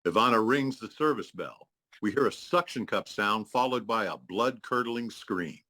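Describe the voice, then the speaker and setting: Man, stage directions reader, scripted narration, crisp bright tone, lowish pitch. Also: normal speed.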